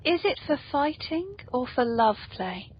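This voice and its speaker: A woman's voice: sits high, sing-song, unhurried, slightly rough. A woman hosting a casual, playful interview chat, talking in a self-deprecating way. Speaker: podcast host and author. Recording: dull lo-fi podcast audio.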